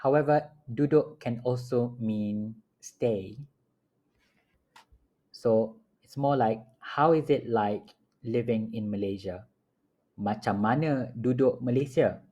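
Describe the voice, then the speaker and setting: A man leads a home language lesson at an unhurried crawl, pronouncing every phrase deliberately and repeating it. His voice sits at an ordinary middle pitch, calm and steady. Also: muffled recording. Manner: repeats each phrase slowly and clearly for learners. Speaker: language teacher. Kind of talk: slow, repetitive phrase-by-phrase teaching. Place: home apartment.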